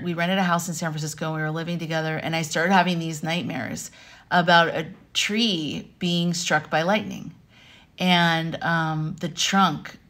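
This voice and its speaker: An American woman with a fairly low-pitched voice talks casually to camera at home. Her delivery is animated and conversational, wandering through personal stories with side notes along the way. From Arizona, United States. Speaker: vlogger and life coach. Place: home room with wooden beams, indoors.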